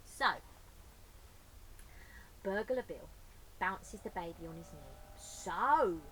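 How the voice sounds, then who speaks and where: A woman's voice: lowish voice, expressive, slow, poor audio. A woman reading a children's picture book aloud as storyteller, with playful asides to young listeners. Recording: faint background noise.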